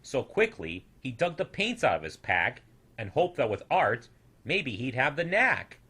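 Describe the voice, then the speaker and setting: A young man reading a rhyming children's story as an expressive, theatrical storyteller with a sing-song rhythm. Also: medium pitch, unhurried, husky. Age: young adult.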